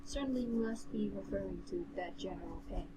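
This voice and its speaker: Amateur female story narrator, mid-pitched, reading fantasy prose, very noisy home recording. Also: a little fast. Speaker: co-host and narrator of a novel reading. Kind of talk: amateur narration of a fantasy novel with casual commentary.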